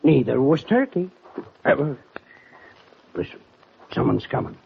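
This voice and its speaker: An actor playing a folksy, rambling cowboy old-timer in an old Western radio drama, with rustic, ungrammatical speech. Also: male voice, mid-range voice, very animated, measured pace, creaky, heavily acted. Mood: folksy, soothing. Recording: muffled, noisy vintage radio recording.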